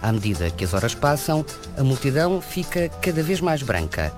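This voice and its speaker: A man from Portugal, narrating a scripted radio news report in a measured voice. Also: medium pitch, sing-song. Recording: music playing behind.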